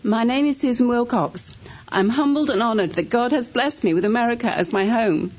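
English-accented woman, fairly high voice. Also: normal speed, darkish tone, dull audio. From born in Scotland, raised in England. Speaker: new citizen. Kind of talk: prepared public testimonial.

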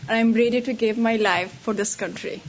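A woman from Afghanistan, a non-native English speaker, giving a halting public testimonial. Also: a little high, fairly even intonation. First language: not English. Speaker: new citizen. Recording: dull audio, faint noise and clicks.

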